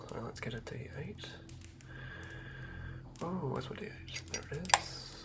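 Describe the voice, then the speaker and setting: A man doing improvised ASMR in a breathy whisper, unhurriedly murmuring to himself as he works. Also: fairly high pitch, unhurried. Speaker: ASMR host.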